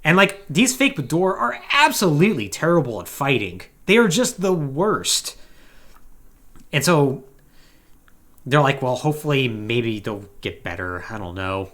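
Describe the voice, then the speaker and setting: An animated man hosts a gaming podcast, retelling a story in a casual recap style. His pitch moves around a lot as he talks, and he drops in wry, conversational asides. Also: a little slow. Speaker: podcast host. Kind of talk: casual plot recap commentary on a video game podcast.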